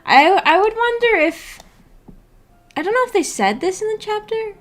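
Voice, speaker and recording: A woman hosting a casual livestream discussion, musing tentatively out loud with a giggly manner. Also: very high-pitched, animated, normal speed. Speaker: host of a book club stream.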